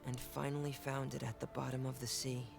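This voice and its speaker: An actor playing a quiet, reserved young diver in a game, soft-spoken and hesitant, over game music. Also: male voice, mid-range voice, monotone, a little fast, vocal fry. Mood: shy. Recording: game soundtrack underneath.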